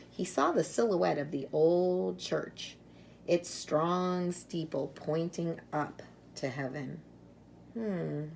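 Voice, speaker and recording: Female storyteller, slow and low, expressive children's read-aloud with dramatic pauses, faint background noise. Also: creaky. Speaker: storyteller reading a children's picture book.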